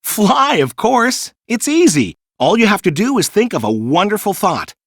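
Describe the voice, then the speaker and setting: A man's voice: high voice, big pitch swings, normal speed, fairly bright tone, no echo, clean studio sound, voice pushed up, exaggerated voice. A man voicing a bold, carefree young boy in a storybook, exclaiming eagerly and bouncily. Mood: excited, boastful.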